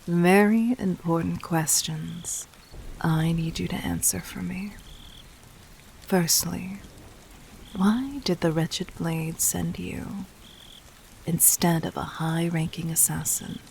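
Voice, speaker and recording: Woman acting a commanding demon queen, very slow, creaky edge, formal, intimate, cunning, gently dominant, roleplay audio. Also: on the low side, slight background noise. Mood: poised, knowing. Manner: measured, formal, poised, intimate, slipping into breathy near-whispers. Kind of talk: scripted roleplay audio drama addressed to the listener.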